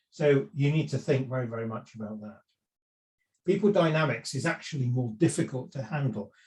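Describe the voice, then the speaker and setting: A middle-aged man giving a seminar talk on property investment, conversational, with frequent fillers and restarts. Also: a little high. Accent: none Welsh. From lived in South Wales for years.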